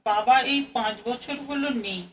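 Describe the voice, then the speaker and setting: A woman's voice: on the high side, moderate pace, a bit bright, recorded from a distance, slight background noise, close to an everyday voice, rough recording. A woman playing a polite, inquisitive, educated young Bengali woman in an audio play, speaking in a composed, questioning way. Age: young adult.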